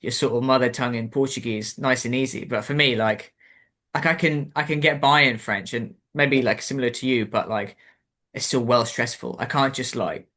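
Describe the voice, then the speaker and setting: A man who hosts a relaxed chat, his voice at a middling pitch. He rambles along easily and keeps dropping "like" and "um" as he talks. The interview stays informal and friendly in tone. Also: normal speed. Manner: rambling and chatty, full of "like" and "um". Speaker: interview host. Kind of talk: casual conversational interview.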